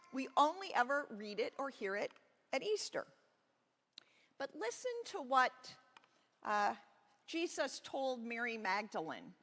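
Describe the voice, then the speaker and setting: A woman pastor telling a Bible story to children in a gentle, expressive storytelling way with deliberate emphasis. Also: on the high side. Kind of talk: children's sermon, reading a Bible story aloud. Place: large reverberant church space. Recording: echoey hall with long reverb.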